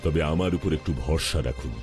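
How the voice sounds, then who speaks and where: A man's voice: a bass voice, a little fast, darkish tone, soft background music. A man playing an earnest, protective superhero in a children's cartoon, with dramatic delivery. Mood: reassuring. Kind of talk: cartoon dubbing in Bengali.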